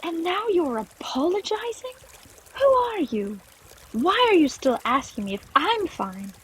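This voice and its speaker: A woman playing a bashful, insecure, emotional young adventurer in a fantasy audio drama, speaking in a halting way. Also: mid-range voice, animated, breathy edge, full character voice. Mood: angry.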